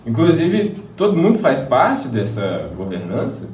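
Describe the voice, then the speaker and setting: A man's voice: mid-range voice, wildly swooping pitch, unhurried, a little mellow. A man playing a plain-spoken, curious community member in a radio soap. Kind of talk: scripted radio soap dialogue. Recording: echoey, distant mic, faint noise.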